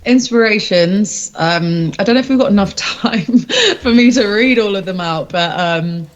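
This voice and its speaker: A woman's voice: mid-range voice, moderate pace, lo-fi sound. A woman, a singer-songwriter, chatting casually and informally in an interview in a rambling way.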